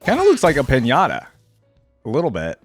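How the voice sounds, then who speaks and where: A man hosting a gaming reaction video, commenting casually off the cuff and thinking out loud with easy surprise. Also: medium pitch, huge pitch swings, a little fast, soft background music. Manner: easily amused, thinks out loud, exclaims with surprise.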